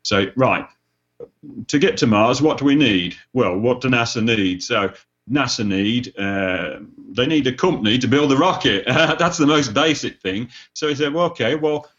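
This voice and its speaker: A man's voice: medium pitch, animated, normal speed, fairly bright tone, highs cut off. A British man from the United Kingdom, an author speaking as a podcast panelist, talking casually with frequent hesitations. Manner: hesitant, lots of "uh" fillers.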